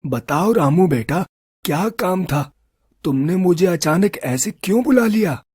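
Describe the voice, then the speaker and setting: Old village uncle for an animated story, kindly and measured, husky. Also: male voice, high voice, no echo, clean studio sound, full character voice. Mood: advising. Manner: gentle, fatherly, measured.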